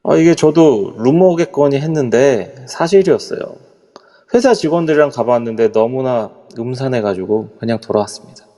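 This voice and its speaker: A man's voice: medium pitch, normal speed, mellow, big-room sound, lightly acted. A man voicing a nervous, polite middle-aged hotel executive who hesitates and trails off as he explains. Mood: uneasy. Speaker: voice actor in a live amateur audio drama.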